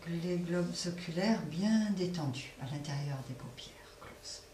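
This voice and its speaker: A woman leading a guided relaxation as a yoga nidra teacher, speaking softly and unhurriedly with long pauses between phrases. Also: lowish voice. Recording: roomy echo, distant mic, faint noise.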